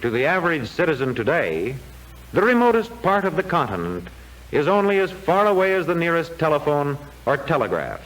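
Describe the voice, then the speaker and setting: Male narrator, 1940s classroom film. Higher-set voice with swinging intonation, formal and declamatory, vintage soundtrack. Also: wildly swooping pitch, fairly bright tone, poor audio. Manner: formal, measured, declamatory delivery.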